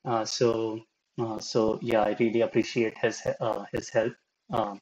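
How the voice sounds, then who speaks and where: A man's voice: on the low side, level tone, measured pace, a little mellow. A young man streaming from a small room, telling a story in a casual, hesitant way, with many fillers and restarts. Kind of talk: casual chat. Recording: slightly dull lo-fi stream audio with faint noise.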